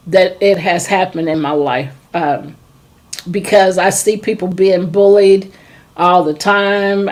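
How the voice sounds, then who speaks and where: A middle-aged woman hosting a talk show who speaks as a trauma and forgiveness coach, talking slowly and hesitantly with many ums. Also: lowish voice, a little mellow. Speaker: host. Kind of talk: casual chat.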